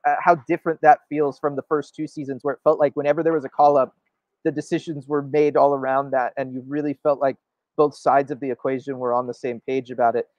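A man's voice: mid-range voice, flat delivery, fast talker, dry recording, dull audio. A man co-hosting a sports show, giving emphatic opinionated commentary and often checking for agreement. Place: indoor room, video call.

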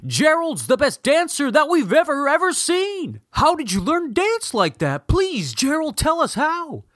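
A man as high, awestruck storybook animals. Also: wildly swooping pitch, measured pace, fairly bright tone, no echo, studio quality, exaggerated voice. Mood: amazed. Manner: breathless admiration, eager. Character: amazed, admiring crowd of jungle animals. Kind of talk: children's storybook read-aloud.

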